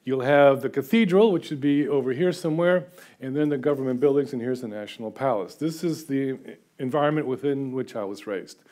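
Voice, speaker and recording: A man's voice: medium pitch, brisk, a little mellow. A man in his sixties giving an informal, chatty seminary lecture from a lectern, self-deprecating and full of filler sounds. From United States, half Guatemalan. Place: lecture room with audience. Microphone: far camera, standing at lectern.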